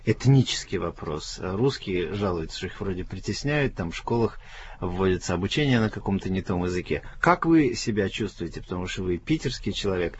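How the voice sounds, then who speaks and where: A man's voice: low-pitched, animated, a little fast, a little hiss or hum. A man hosting a radio interview, asking questions in a playful, bantering way. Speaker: radio host. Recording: dull lo-fi radio recording with faint ambience.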